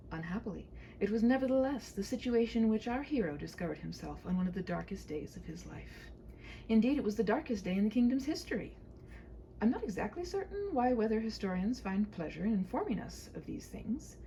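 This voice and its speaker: A woman narrates a comic storybook tale with a lively, swooping delivery. Her tone is mellow with some vocal fry, and her phrasing is whimsical and mock-formal. There is faint noise in the home recording. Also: mid-range voice, rough recording. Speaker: storyteller reading own short story. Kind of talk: humorous scripted storybook narration with wry asides.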